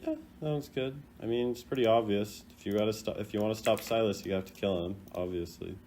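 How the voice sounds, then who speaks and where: A man giving casual, chatty commentary on game voice lines in an animated, playful way. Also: on the low side, fast, slight background noise. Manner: animated, acts out imagined quotes in exaggerated voices. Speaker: gaming content creator.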